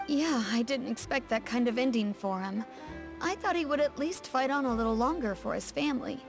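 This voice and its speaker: A woman's voice: fairly high pitch, animated, fairly quick. An actress playing a poised, articulate townswoman who explains things in scripted video game dialogue. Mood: wistful. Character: poised, well-spoken local townswoman, gently correcting. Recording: faint music behind.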